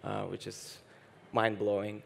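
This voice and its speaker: A man's voice: fairly low pitch, monotone, measured pace, gravelly, a little hiss or hum. A young man from Bulgaria speaking frankly on a conference panel, with many hesitations and restarts. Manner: frank, hesitant restarts, laughs while talking. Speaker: panelist, dating app founder. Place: stage at a conference hall.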